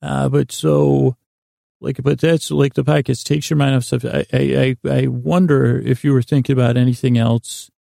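A man hosting a bedtime podcast in a rambling, whimsical way, halting and repeating himself, close to the mic in a small closet. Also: mid-range voice, flat delivery, vocal fry, dark tone, no echo. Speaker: podcast host. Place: small closet used for recording. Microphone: close mic in a small recording closet.

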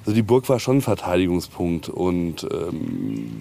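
A man's voice: low voice, very animated, measured pace, gravelly, mellow. A man guiding an informal castle tour outdoors, explaining history in a hesitant way with many ums. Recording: noisy outdoor location recording.

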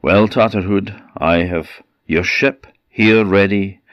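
A man voicing a courteous king who speaks formally, in his own low voice. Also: fairly even intonation, takes it slowly, dry recording, muffled recording. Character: grand courteous old king.

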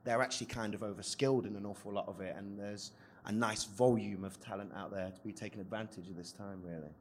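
A man with a fairly low voice speaks earnestly on a live panel. He talks at length with many fillers, and a faint hum sits behind him. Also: steady tone, quick pace. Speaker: panellist writer. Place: event venue, live audience.

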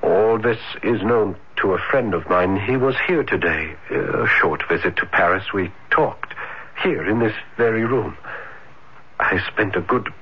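An actor playing a shy, ardent young dreamer in a 1970s radio drama, hesitant and breathless with florid romantic phrasing. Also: male voice, lowish voice, lively intonation, a little slow, slightly rough. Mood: earnest, adoring. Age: young adult. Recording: muffled noisy old radio broadcast.

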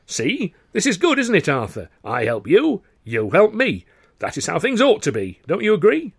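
A jovial, touchy middle-aged impresario, voiced by a man reading a story. He hems and haws, then snaps, his pitch leaping about. The voice is a little husky and close and dry. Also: big pitch swings, normal speed, no echo. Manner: blustering, hesitant then snapping.

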